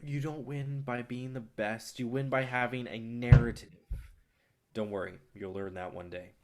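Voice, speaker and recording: A man acting out a brash film distributor in a staged play reading. He is a smooth manipulator with a mid-pitched voice, swaggering and swearing as he pushes his pitch. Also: fairly even intonation, normal speed, very noisy, close to an everyday voice. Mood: cocky. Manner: swaggering, profane, persuasive.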